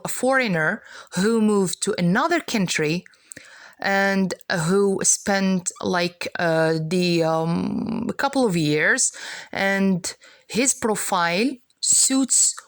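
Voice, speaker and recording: A woman's voice: mid-range voice, no room sound, studio quality. A woman from Morocco with a Moroccan accent and Arabic as her first language, teaching a lesson in a didactic way, often checking in as she explains. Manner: didactic, repeats and checks with "okay".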